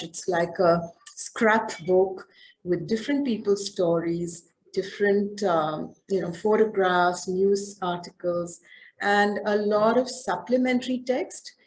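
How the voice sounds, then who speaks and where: A woman's voice: mid-range voice, unhurried, a little hiss or hum, rough recording. A woman author telling a book club about her book in a loose, conversational way, full of fillers and restarts. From southern India. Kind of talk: casual talk.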